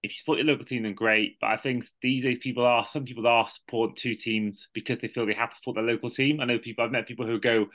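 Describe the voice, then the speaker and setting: Casting note: host in his twenties, forceful tense tone, loose rambling talk. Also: mid-range voice, steady tone, fast talker, few pauses. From Wales. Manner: rambling, many restarts. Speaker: podcast host. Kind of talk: casual chat.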